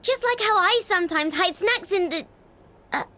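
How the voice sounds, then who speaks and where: A woman's voice: very high voice, expressive, moderate pace, background noise. A woman voicing a perky, boastful young girl inventor in a video game, with a childlike, casual way of talking. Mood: matter-of-fact. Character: excitable, snack-loving young girl inventor, proud household head. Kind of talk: animated video game voice acting. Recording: music behind the voice.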